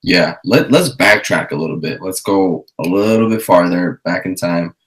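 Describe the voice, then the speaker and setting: Deep-voiced male podcast host. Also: normal speed. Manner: relaxed, prompting questions. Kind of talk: casual podcast interview.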